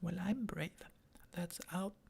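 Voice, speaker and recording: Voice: medium pitch, slow, gravelly, a little mellow, slight background noise, voice pushed down. A person whispering the lines of an eager, boastful young male lion cub with a cheeky manner.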